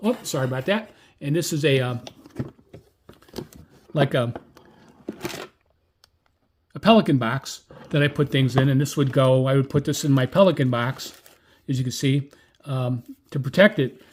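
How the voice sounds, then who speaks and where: A man's voice: a little high, darkish tone, slight background noise. A man giving a casual, hands-on radio review in a rambling, conversational way.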